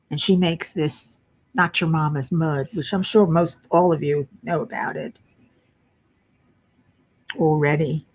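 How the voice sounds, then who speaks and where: Slow, low-voiced tired woman. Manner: chatty, muttering while searching for pieces. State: tired from lack of sleep. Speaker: crafting livestream host. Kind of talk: casual live chat while diamond painting.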